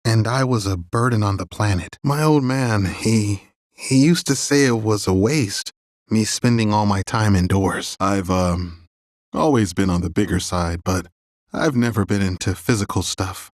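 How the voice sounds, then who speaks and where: An actor playing a big, gentle young man in a video game, earnest and a little hesitant. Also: fairly low pitch, unhurried, no room sound, clean studio sound, natural voice. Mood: earnest, reflective.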